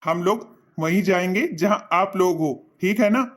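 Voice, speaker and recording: A man's voice: sits high, unhurried, fairly bright tone. An actor voicing an earnest young son in a cartoon, reassuring his parents in a heartfelt way.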